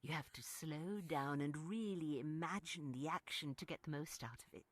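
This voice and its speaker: A woman's voice: sits low, moderate pace, no echo. A woman playing a chatty, opinionated, quirky small-town character in a video game, playful and welcoming. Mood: helpful, chatty.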